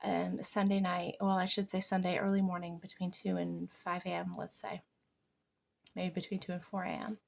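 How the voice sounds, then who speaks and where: A woman's voice: on the low side, level tone, normal speed, creaky, a little hiss or hum. An American woman giving an informal, reflective spiritual monologue as a podcast host, speaking earnestly and leaning on key words.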